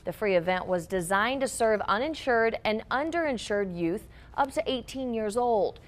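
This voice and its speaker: A woman's voice: a little high, animated, normal speed. A woman working as a news anchor, reading scripted local news stories.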